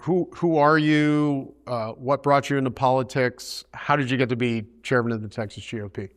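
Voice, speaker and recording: Casting: man at a mid pitch, host-interviewer, friendly and straightforward questions. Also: fairly even intonation, normal speed. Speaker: interviewer, former party chairman. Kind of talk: conversational interview.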